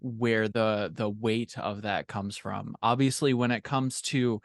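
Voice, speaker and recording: A man's voice: lowish voice, steady tone, unhurried, dry recording. A man talking conversationally as a guest in a podcast interview, explaining things in an informal, thoughtful way. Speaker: podcast guest, fiction co-author.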